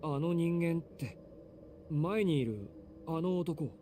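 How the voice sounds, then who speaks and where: A man's voice: a little high, animated, moderate pace, warm tone, slight background noise, natural voice. A man playing an exasperated, earnest young man in a Japanese visual novel, blunt and urgent. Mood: uneasy.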